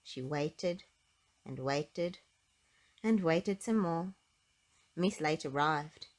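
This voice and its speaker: A woman narrating a children's storybook aloud in an expressive, emphatic way with clear phrasing. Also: sits low, extremely slow.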